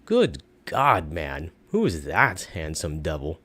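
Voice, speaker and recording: A man voicing a sly, boastful pawn shop swindler with theatrical, flattering salesman patter in a storybook reading. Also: rumbling low, slow pace, husky, heavily acted.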